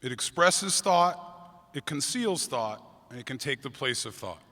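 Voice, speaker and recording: A middle-aged man, a teacher, giving an earnest graduation speech with a booming voice and light jokes for the crowd. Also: animated, measured pace, sharp tone. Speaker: teacher giving commencement address. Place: large convention hall. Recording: long hall reverb from a PA.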